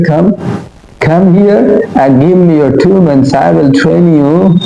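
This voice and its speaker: A man with an Indian English accent, an English teacher giving an emphatic, repetitive motivational pitch for his own institute. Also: gravelly, warm tone, slight background noise. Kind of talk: motivational promo talk to camera. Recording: roomy echo.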